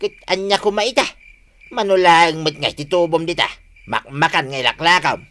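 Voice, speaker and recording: A man's voice: a little high, normal speed, pushed and bright. A man playing an idle, proud husband in a radio drama, complaining indignantly with a northern Philippine accent. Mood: irritated. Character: idle, proud husband ashamed of wife's trade.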